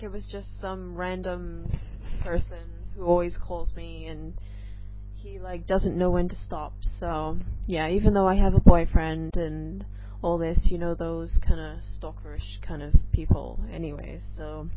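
A young woman from England hosts a casual podcast, her mixed accent leaning mostly American. She talks slowly and nervously, drifting between thoughts with plenty of ums. The recording sounds dull and lo-fi, with faint music underneath. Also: lowish voice, steady tone. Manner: nervous and rambling, lots of ums. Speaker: podcast host. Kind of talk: casual solo chat.

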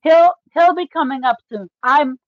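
Woman podcaster explaining books like a big fan, highish voice, slightly dull dry recording. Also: moderate pace, dry recording. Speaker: podcast co-host. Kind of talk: casual book discussion.

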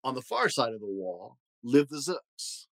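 A man's voice: mid-range voice, big pitch swings, unhurried, fairly bright tone, no echo. A man voicing a very old, stern and proud grandfather who moralizes indignantly and emphatically in rhyme for a storybook. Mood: indignant, grave. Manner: emphatic, moralizing, rhyming speech.

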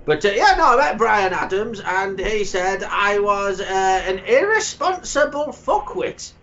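A man hosting a crude comedic chat, rambling and stammering with sudden shouty outbursts. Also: high-pitched, big pitch swings, measured pace. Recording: slightly muffled with faint noise.

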